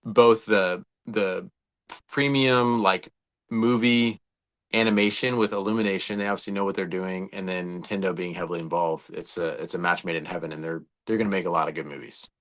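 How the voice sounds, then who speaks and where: A man's voice: deep voice, steady tone, brisk, fairly bright tone. A man, perhaps in his thirties or older, co-hosting a casual movie discussion with an enthusiastic, rambling fan's delivery. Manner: enthusiastic, rambling fan talk. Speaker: podcast co-host.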